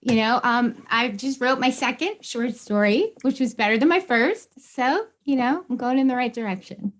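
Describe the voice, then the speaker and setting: A woman's voice: fairly high pitch, expressive, moderate pace. A woman chatting casually as a podcast guest, candid, informal and enthusiastic. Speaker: podcast guest, beginning writer. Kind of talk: casual chat.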